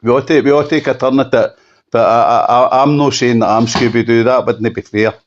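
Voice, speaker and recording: A Scottish man giving an unscripted telephone interview, halting and often repeating himself for emphasis. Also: medium pitch, steady tone. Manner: halting, repetitive, emphatic restatements. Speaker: campaigner being interviewed. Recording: dull lo-fi phone call.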